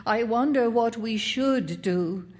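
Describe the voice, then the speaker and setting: A woman's voice: fairly high pitch, slow pace, voice pushed up. A woman voicing an anxious, domineering and weary mother in a story, coaxing and admonishing in a fretful way. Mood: weary, resigned.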